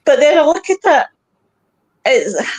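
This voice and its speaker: A woman with a fairly high voice hosts a casual live chat show. She tells rambling, animated stories, swears freely and reads out comments as she goes. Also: unhurried.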